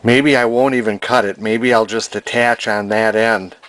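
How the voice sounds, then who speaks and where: A man's voice: lowish voice, fairly even intonation, normal speed, a bit bright. A man casually talking through an antique radio repair in his workshop, plain and hesitant with frequent fillers. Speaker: radio restorer. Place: home workshop.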